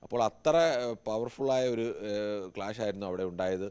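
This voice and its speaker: A man's voice: fairly low pitch, fairly quick, darkish tone, clean tone. A man giving unscripted live news analysis as a correspondent, explaining with frequent rephrasing.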